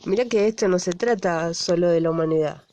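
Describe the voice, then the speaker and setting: A woman's voice: fairly low pitch, a little fast, warm tone, lightly acted. A woman with an Argentine accent voicing an indignant activist in a conversational monologue, blunt and full of rhetorical questions. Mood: indignant, argumentative. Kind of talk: conversational radio theatre monologue.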